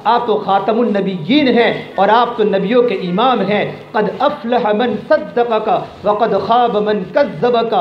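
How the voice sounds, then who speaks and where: Male preacher, high voice, emphatic religious storytelling with Arabic quotations, dull lo-fi recording. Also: few pauses. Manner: emphatic, repeats phrases for effect. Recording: echoey, dull lo-fi, faint noise.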